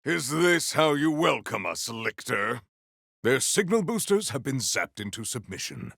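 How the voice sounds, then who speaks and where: A man's voice: medium pitch, big pitch swings, a little slow, gravelly, fairly bright tone, breathy edge, no echo, studio quality, heavily acted. A man playing a solemn, proud warrior in a video game, speaking with formal, stately diction and dramatic weight. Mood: measured, dry. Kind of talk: scripted game character lines.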